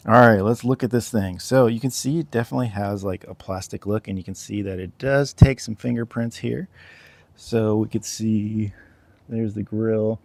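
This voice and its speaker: Low-voiced man, slightly gravelly, casual reviewer. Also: fairly even intonation, moderate pace, darkish tone, no echo. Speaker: product reviewer.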